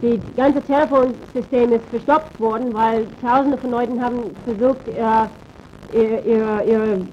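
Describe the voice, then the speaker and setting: Hesitant woman interpreter, slightly high voice. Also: steady tone, a little slow. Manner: hesitant, searching for words. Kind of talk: consecutive live interpreting. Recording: dull, faintly noisy archive tape.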